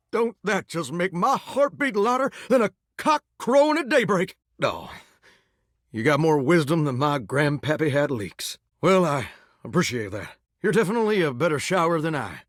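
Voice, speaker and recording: A man's voice: a little high, dry recording, clean studio sound. An actor voicing a vain, melodramatic male shower spirit who dreams of singing stardom, talking in a folksy, theatrical drawl for a video game. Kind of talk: video game character dialogue.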